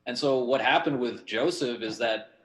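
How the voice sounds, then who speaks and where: A man's voice: medium pitch, moderate pace, a bit bright. A man in his thirties, a guest pastor whose first language is Romanian, speaking thoughtfully over a video call from a bedroom at home. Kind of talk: conversational Bible discussion. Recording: roomy video call audio.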